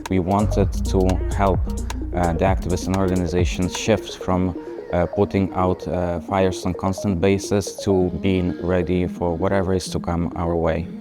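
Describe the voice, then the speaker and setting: A man's voice: sits low, little pitch movement, measured pace, music in the background. A man explaining an event as its organizer in an interview.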